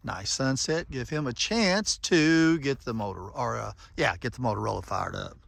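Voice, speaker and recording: A man's voice: medium pitch, moderate pace, creaky, a bit bright. A man giving casual, plain-spoken running commentary and making radio check calls in a folksy way. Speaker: vlogger testing walkie-talkies.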